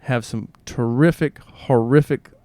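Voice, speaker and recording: A man of average pitch co-hosts a conversational podcast in a close, dry recording. He muses earnestly, often posing questions and acting out little quotes as he reflects. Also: measured pace, a little mellow, dry recording. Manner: earnest, poses rhetorical questions, acts out quotes. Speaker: podcast co-host.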